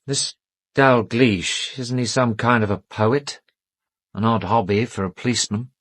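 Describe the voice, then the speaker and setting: An actor playing an educated, defensive English gentleman and weary secret lover in a British radio drama, speaking politely and guardedly with wry self-deprecation. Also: medium pitch, a little slow, no echo. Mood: wary, defensive.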